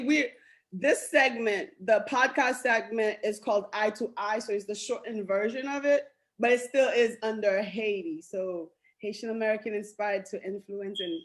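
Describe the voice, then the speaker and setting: A woman's voice: on the high side, a bit bright. A woman co-hosting a podcast, chatting in a bubbly way and laughing often. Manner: bubbly, apologetic, laughs a lot. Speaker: podcast co-host.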